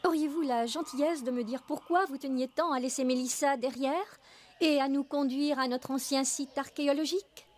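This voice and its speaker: A woman's voice: sits high, steady tone, moderate pace, slight background noise, lightly acted. A woman playing an earnest, determined mother and explorer in a French cartoon, speaking articulately. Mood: indignant.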